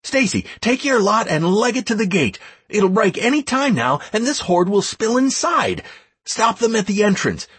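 A man voicing a young male gamer leading a raid, barking urgent, forceful battle orders in a fantasy audiobook. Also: on the high side, wildly swooping pitch, brisk, few pauses, husky, pitched above the performer's own voice, heavily acted. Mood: urgent, commanding. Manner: commanding, forceful shouting.

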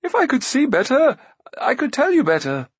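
Man doing a high, blithe young prince. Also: huge pitch swings, normal speed, slightly rough, no echo, full character voice. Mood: blithely puzzled. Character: hapless, cheerful, naive young prince.

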